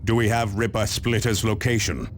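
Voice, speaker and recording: An actor playing a grave, resolute space marine captain, solemn and commanding in a video game briefing. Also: male voice, fairly low pitch, fairly even intonation, moderate pace, a little hiss or hum, natural voice. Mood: grave, urgent.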